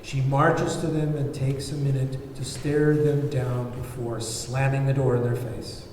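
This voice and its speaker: Casting: male script narrator, dark mellow tone, reading screenplay action, recorded at a distance. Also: medium pitch, flat delivery, a little slow. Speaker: narrator reading stage directions. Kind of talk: scripted narration at a table read. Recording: very echoey, distant, noisy room recording.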